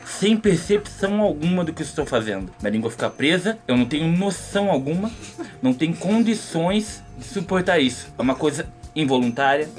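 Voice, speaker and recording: A man's voice: fairly high pitch, animated, a little fast, faint music behind. A man, joking and rambling as a guest on a casual podcast. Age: 30s.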